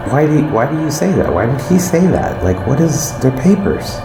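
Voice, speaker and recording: A man's voice: medium pitch, very animated, fairly quick, background noise. A man playing a puzzled, uneasy character in a naturalistic fiction podcast, talking in a conversational, questioning way. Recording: roomy echo, music underneath. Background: music.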